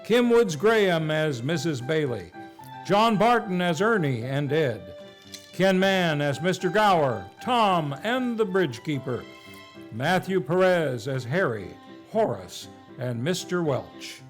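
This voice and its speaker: A man announces the closing credits of a live radio theater show. His voice is high for a man, and he reads from a script. Faint music plays behind him. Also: big pitch swings, takes it slowly.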